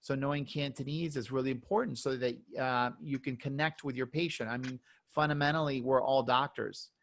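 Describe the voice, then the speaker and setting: A man's voice: medium pitch, steady tone, a little fast. A man, a doctor, talking earnestly as a webinar panelist over a video call, often repeating words. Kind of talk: webinar discussion.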